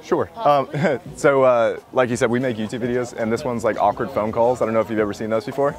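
A man's voice: mid-range voice, steady tone, brisk. A young American man in his twenties chatting casually and apologetically with passers-by as a video host. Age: 20s. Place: outdoors and in busy campus spots. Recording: outdoor crowd chatter behind.

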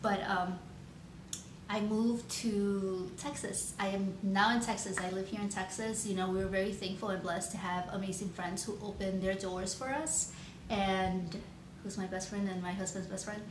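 A chatty woman in her thirties talks to the camera in a loose, rambling way, with plenty of ums and likes. Her voice sits at a middle pitch for a woman. The room sounds echoey, and a clear background hiss runs under her voice. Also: fairly even intonation, normal speed. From Chicago, United States. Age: 30s. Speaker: nail artist vlogger. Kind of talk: casual Q&A vlog. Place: home room with shelves, indoors.

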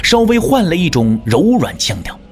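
A man's voice: on the high side, moderate pace, soft background music. A man narrating a martial-arts story in a theatrical, scripted storytelling style. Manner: theatrical, expressive.

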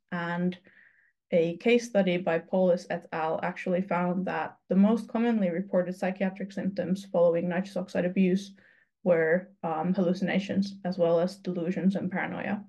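Low creaky-voiced woman giving a slide talk. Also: a little mellow. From Finland. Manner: earnest, explanatory, with frequent ums and restarts. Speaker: student researcher presenting. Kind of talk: conference talk over slides. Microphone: video call webcam.

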